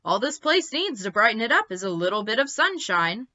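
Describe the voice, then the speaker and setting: A woman's voice: fairly high pitch, big pitch swings, brisk, pushed and bright, muffled recording, pitched above the performer's own voice. A woman voicing a proud, cheerful male stegosaurus professor who explains things eagerly in a storybook.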